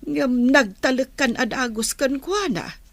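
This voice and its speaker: An actress playing a gentle, middle-aged, worried mother in a radio drama, cautioning in a measured way. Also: sits high, no room sound. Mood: gently cautioning. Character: gentle, worried mother advising her grown daughter. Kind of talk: Ilocano radio drama acting.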